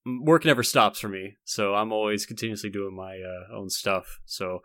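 A man's voice: on the low side, normal speed, bright, forceful tone, no echo, studio quality. A man hosting a podcast in casual chat, talking in a rambling, hesitant way. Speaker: podcast host.

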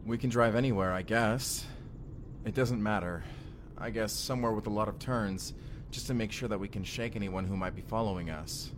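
A man's voice: on the low side, normal speed, background noise, lightly acted. A man playing a smitten, slightly nervous young husband from the future in an intimate audio roleplay, talking in a casual, hesitant way. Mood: paranoid, uneasy, then cautious, calm. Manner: casual, hesitant, sentimental, rambling. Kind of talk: first-person immersive audio roleplay, speaking intimately to the listener.

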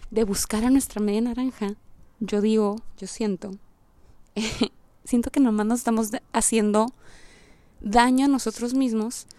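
A woman's voice: on the high side, steady tone, measured pace, mellow, no room sound. A woman giving a casual, reflective monologue as a podcast host, informal and slangy, rambling as she thinks aloud. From Puerto Rico.

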